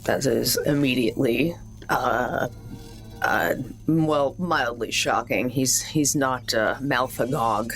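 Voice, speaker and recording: A woman's voice: very deep voice, takes it slowly, soft background music. A woman chatting casually and a little hesitantly as she improvises as a player in a tabletop roleplaying game.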